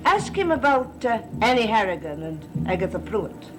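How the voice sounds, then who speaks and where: An actress playing a sinister, scheming servant in a gothic soap, speaking in a theatrical, deliberate, taunting way. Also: female voice, a little high, sing-song. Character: sinister, scheming female servant, defiant occult summoner. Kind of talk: 1960s-70s gothic TV soap acting. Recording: old TV soundtrack with music underneath.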